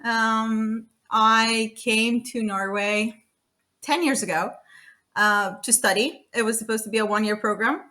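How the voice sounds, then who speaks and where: Woman in her 30s from romania, guest on a podcast, bright forceful voice, lots of ums and laughs. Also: a little high, fairly even intonation, unhurried. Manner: chatty, frequent fillers and restarts, laughing often. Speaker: guest, data consultant. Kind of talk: casual podcast chat.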